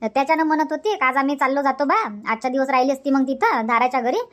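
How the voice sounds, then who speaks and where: Cartoon village aunt, acted by a woman: high voice, Varhadi Marathi accent, bossy and hospitable, nags by repeating herself. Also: fast, a bit bright. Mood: coaxing. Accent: Varhadi Marathi from Vidarbha. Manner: insistent, repetitive, scolding. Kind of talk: Marathi cartoon comedy dialogue.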